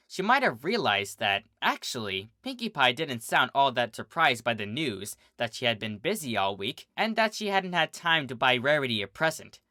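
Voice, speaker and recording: A fanfic reader narrating a story in an expressive, animated way. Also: medium pitch, no echo, studio quality.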